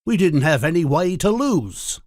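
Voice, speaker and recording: A woman voicing a polite, plain-spoken old male sailor in a storybook, with a husky voice. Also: lowish voice, very animated, a little slow, darkish tone, dry recording, studio quality, pitched below the performer's own voice. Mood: candid.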